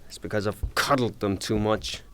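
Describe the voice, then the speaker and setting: A man's voice: fairly low pitch, steady tone, normal speed, a little hiss or hum, lightly acted. A man playing an angry, blustering, woman-hating creditor, ranting theatrically in an audio drama. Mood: exasperated, ranting. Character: angry blustering male creditor, hot-tempered woman-hater.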